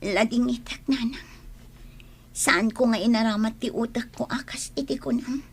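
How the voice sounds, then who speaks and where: A woman's voice: a little high, steady tone, moderate pace, slightly rough. A woman playing an aggrieved grown daughter in an audio drama, earnest and restrained as she reasons with her mother. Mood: hurt, earnest.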